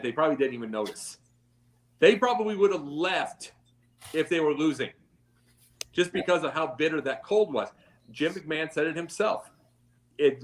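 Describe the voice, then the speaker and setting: A man on a sports talk panel giving rambling, opinionated takes and repeating his points for emphasis. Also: a little high.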